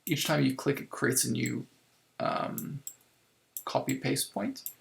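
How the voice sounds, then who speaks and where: A man giving a casual software tutorial step by step, thinking aloud informally as he works, in a creaky, gravelly voice. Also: medium pitch, lively intonation, slow pace. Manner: informal, improvised, thinking aloud while working. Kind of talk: casual screen-recorded tutorial, explaining step by step.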